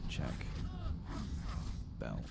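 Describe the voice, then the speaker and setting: A man giving soft-spoken, often whispered ASMR commentary as he plays a game, muttering to himself. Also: very slow, very noisy. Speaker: gaming streamer. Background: game music and sounds.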